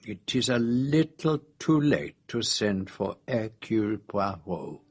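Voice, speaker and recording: An actor playing a shrewd, courteous foreign detective in a radio drama, with a Belgian French accent and a precise, measured, self-assured way of explaining. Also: male voice, lowish voice, expressive, no echo, exaggerated voice. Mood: reflective, kindly.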